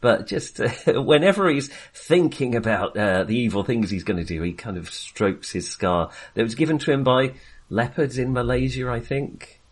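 A man's voice: mid-range voice, lively intonation, normal speed, husky. A man chatting casually and enthusiastically about films as a podcast co-host, rambling and hesitating as he goes. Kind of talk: casual, chatty film discussion.

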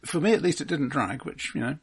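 A man's voice: on the high side, expressive, brisk, husky. A man co-hosting a casual film discussion, explaining points in a precise, careful way. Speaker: film podcast co-host.

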